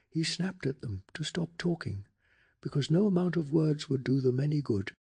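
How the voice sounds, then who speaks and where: A man reads a scripted story in a very husky, breathy ASMR voice. The pitch sits a little high for a man, with a gravelly fry running through it. His delivery is hushed and dramatic, made for bedtime listening. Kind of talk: soft-spoken ASMR bedtime story narration.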